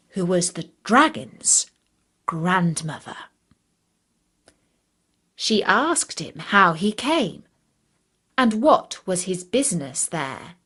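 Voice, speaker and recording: A woman storyteller narrating a scripted fairytale in a deliberate, expressive manner. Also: medium pitch, breathy edge.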